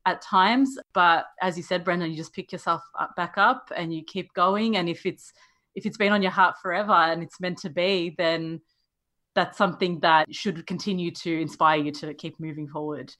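A woman's voice: lowish voice, normal speed, pushed and bright. A woman hosting a podcast, asking questions in an encouraging, affirming way. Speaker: podcast host. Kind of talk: podcast interviewing.

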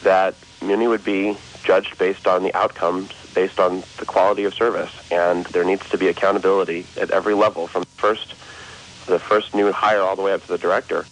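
A man's voice: sits low, level tone, fairly quick, poor audio. A man giving an interview soundbite as a spokesperson. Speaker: advocacy group spokesperson. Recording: some background noise.

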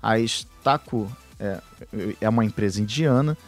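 A man's voice: on the low side, a little slow, faint music behind. A man co-hosting a casual technology podcast, explaining at length with frequent fillers and restarts. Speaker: podcast co-host. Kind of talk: casual tech podcast chat.

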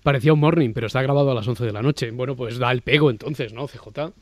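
A man's voice: medium pitch, fast talker, dry recording. A man with a Castilian Spanish accent hosting a discussion in a conversational way. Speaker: host of a discussion podcast. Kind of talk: conversational moderating.

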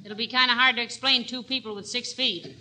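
Sensible wife, good-humored, gently teasing, higher pitch, very bright tone, 1940s radio comedy. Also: female voice, steady tone, brisk, poor audio. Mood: brisk, covering up.

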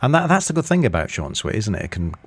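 A man's voice: lowish voice, big pitch swings, rapid-fire, slightly rough. A man interviewing festival actors in a chatty, upbeat way, asking follow-up questions. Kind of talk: casual backstage interview.

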